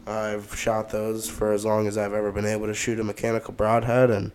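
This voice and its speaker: A man with a stuffed-up nose, co-hosting a podcast and talking through his gear in a relaxed, rambling way. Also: lowish voice, brisk. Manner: relaxed, rambling, many fillers. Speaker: podcast co-host. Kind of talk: casual gear talk.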